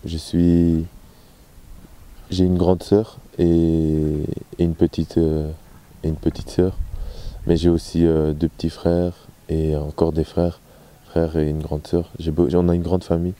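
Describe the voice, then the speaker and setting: Male athlete, twenties, deep, fairly even tone, pausing through casual interview answers. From Belgium. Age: 20s. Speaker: footballer being interviewed. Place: open-air football pitch. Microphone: handheld microphone.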